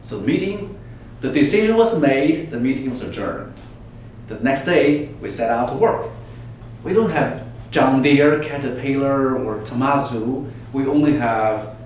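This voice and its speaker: A man telling a story as a dramatic, emphatic contest speech, putting rhetorical questions to his listeners. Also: mid-range voice. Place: large echoey room, speaking to an audience. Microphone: distant microphone. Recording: echoey room from far off, faint noise.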